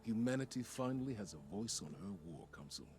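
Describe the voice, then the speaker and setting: Low-voiced, weary military commander. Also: male voice, big pitch swings, darkish tone. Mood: grave, relieved. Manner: measured, grave. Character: weary, dutiful military commander, stern and reflective. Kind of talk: dramatic video game monologue.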